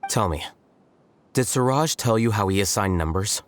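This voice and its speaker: A man voicing a composed, analytical young scholar in a video game, speaking in a measured, formal, matter-of-fact way. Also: fairly low pitch, animated, a little hiss or hum, natural voice. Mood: firm, detached.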